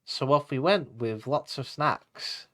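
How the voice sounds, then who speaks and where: Slow, playful man reading a story. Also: mid-range voice, fairly bright tone. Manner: playful, deliberate delivery. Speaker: show host reading a children's story. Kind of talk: rhyming storybook narration.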